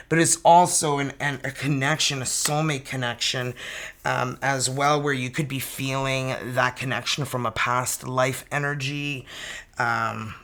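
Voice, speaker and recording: A tarot reader in their fifties, talking in a loose, chatty way and breaking into exclamations. Their speaking pitch sits in the middle of the usual range. Card quotes are read out slowly and carefully. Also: fairly bright tone. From Canada. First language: French. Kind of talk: casual tarot reading to camera.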